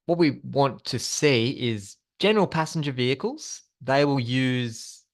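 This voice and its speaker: A man's voice: medium pitch, measured pace. A man presenting a slide-based training session as an instructor, explaining things in an informal, conversational way.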